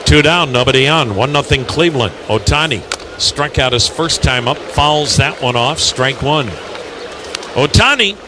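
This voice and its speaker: A man's voice: mid-range voice, lively intonation, a little slow, background noise. A man announcing live baseball play-by-play on the radio.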